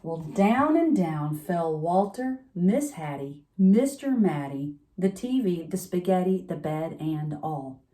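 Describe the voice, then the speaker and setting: A woman's voice: fairly low pitch, very animated, slow, few pauses, mellow. A woman storyteller reading a children's picture book aloud at bedtime, expressively, with chatty asides.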